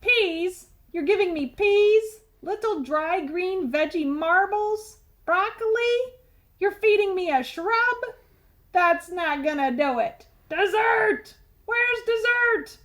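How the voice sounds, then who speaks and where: A woman acts out a pushy storybook tooth with a very high voice, mad for sweets. It wheedles, pleads and complains with big melodrama, every line mouthy and overblown. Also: expressive, takes it slowly, fairly bright tone, heavily acted. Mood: outraged, begging. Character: whiny, bossy sugar-craving talking tooth, wheedling and dramatic.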